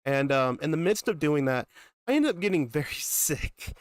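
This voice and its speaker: A man's voice: a little high, very animated, normal speed, no room sound, studio quality. A young man with a cold, sounding congested, chatting casually with viewers as a gaming streamer and rambling on with asides. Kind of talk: casual live-stream chat.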